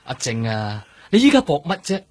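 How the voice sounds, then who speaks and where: An actor with a Hong Kong Cantonese accent playing an exasperated middle-aged police superior in a radio drama, lecturing with pointed questions in a husky voice. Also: male voice, mid-range voice, huge pitch swings, moderate pace.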